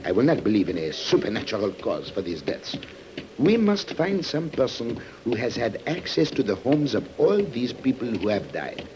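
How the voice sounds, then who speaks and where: A man's voice: mid-range voice, sing-song, moderate pace, close to an everyday voice. A man playing a determined, brooding police commissioner, declamatory and emphatic, in a mid-century radio drama. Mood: brooding, insistent. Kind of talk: 1950s radio drama acting. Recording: noisy old radio recording.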